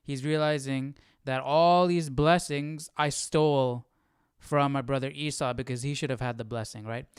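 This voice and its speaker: A man's voice: mid-range voice, steady tone, normal speed, smooth, no room sound, studio quality. A man hosting a casual live Bible study, thinking aloud and questioning, often checking with "right?".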